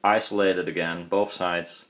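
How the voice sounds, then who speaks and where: Low-pitched man, casual hands-on explainer. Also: little pitch movement, normal speed, a bit bright. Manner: rambling, hesitant, thinking aloud. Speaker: hobbyist speaker builder and video maker. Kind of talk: casual unscripted demonstration and commentary.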